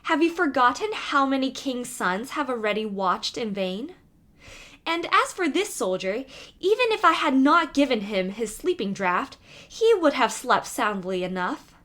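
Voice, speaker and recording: A woman's voice: high-pitched, very animated, unhurried, a bit bright, exaggerated voice. A woman voicing a scornful, bossy eldest princess in a fairy tale. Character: haughty, dismissive eldest princess, young woman.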